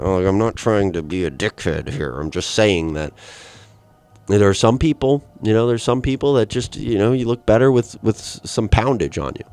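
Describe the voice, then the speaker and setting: Low-voiced rambling young man. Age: 20s. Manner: rambling and profane, with deadpan asides. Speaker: podcast host. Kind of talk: solo comedic rant.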